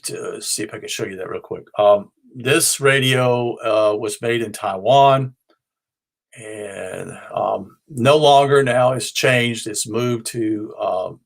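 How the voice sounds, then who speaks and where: A man presenting in an informal, unscripted explanatory way, rambling a little and often pausing to search for his words. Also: lowish voice, gravelly. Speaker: presenter of a ham and shortwave radio show.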